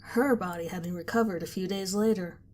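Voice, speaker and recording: A woman narrating a first-person horror story in a calm, measured storytelling manner. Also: on the low side. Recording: faint background music.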